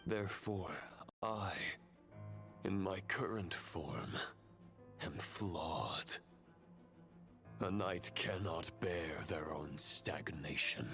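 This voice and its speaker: An actor playing a grave, coldly convinced fallen knight phantom in a video game, speaking in measured, formal phrases. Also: male voice, low voice, fairly bright tone, soft background music, full character voice. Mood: solemn, grave. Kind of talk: dramatic video game acting.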